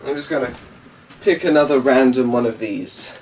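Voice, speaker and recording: A man reading a story aloud and rambling to himself, with hesitant, drawn-out musing and muttered asides. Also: mid-range voice, a little mellow. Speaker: host and story reader. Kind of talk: rambling solo chat and dramatic story narration. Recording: distant mic with faint background noise.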